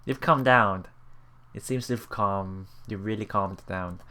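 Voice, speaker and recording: A let's player reading a visual novel aloud in a halting way, with emotionally invested asides. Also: sits low, pushed and bright. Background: game music.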